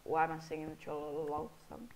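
A man's voice: fairly high pitch, monotone, fast talker, slight background noise, low-quality recording. A young man with a sniffly head cold, casually commenting on a game he is playing, rambling and correcting himself as he goes. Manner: rambling, self-correcting, mock-angry at enemies. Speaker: gameplay commentator.

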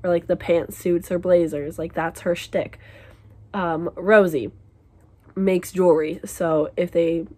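A woman's voice: on the low side, fairly even intonation, takes it slowly, a little mellow. A young woman in her twenties vlogging from her bedroom, chatty and rambling with lots of fillers, her voice worn and losing strength. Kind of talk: casual vlog chat and book talk. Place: small bedroom at home.

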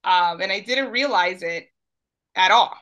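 A woman from New York City whose first language is Spanish, talking as a podcast guest. Her voice is bright and lively at mid pitch. She talks thoughtfully and casually, with plenty of ums and restarts. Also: measured pace, muffled recording. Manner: thoughtful, with many fillers and restarts. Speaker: guest, teacher. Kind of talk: casual interview talk.

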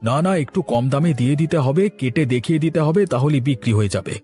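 A man's voice: on the high side, fairly quick, mellow, close to an everyday voice. A man voicing a blustery, easily startled village farmer in a cartoon, exclamatory and grumbling. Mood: reassuring. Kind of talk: children's cartoon voice acting. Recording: music behind the voice. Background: music.

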